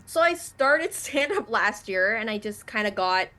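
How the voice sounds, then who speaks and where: A young woman from Canada, a native Mandarin speaker, chatting as an interview guest in an animated way with many fillers. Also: medium pitch, a little slow. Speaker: guest, actress and comedian. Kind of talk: casual interview chat.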